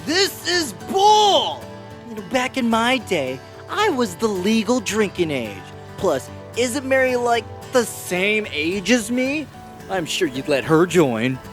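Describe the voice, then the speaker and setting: A man playing a cocky, whiny, youthful guy in an audio drama, excitable and full of complaints. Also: high-pitched, slow pace, faint music behind, full character voice. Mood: indignant.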